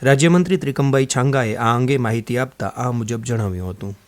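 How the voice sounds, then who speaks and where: Formal mid-pitched male newsreader. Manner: measured, formal, neutral. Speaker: radio newsreader. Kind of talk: scripted news narration.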